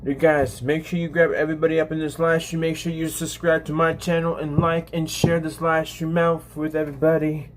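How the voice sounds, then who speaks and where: A man in his twenties hosting a casual livestream, talking to viewers in a halting, repetitive way with frequent restarts. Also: on the high side, little pitch movement, slight background noise. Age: 20s. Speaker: live streamer, channel host.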